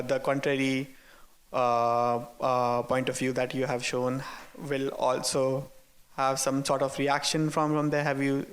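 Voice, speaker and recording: A man in an audience asking a spontaneous question, haltingly searching for his words. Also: medium pitch, a bit bright.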